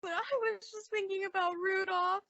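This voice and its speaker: A woman playing a housewife's excitable, sympathetic best friend in theatrical old-time radio comedy style. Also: very high-pitched, moderate pace, heavily acted. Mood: tearful, flustered. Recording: dull, lo-fi audio drama recording.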